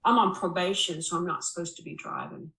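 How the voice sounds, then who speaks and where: A woman's voice: lowish voice, a little fast, a bit bright, distant mic, natural voice. Female reader as bossy male fugitive in an audiobook, impatient, pressing, raised voice, roomy sound. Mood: urgent. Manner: urgent, exasperated. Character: impatient, bossy man on probation. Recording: roomy, echoing voice recording.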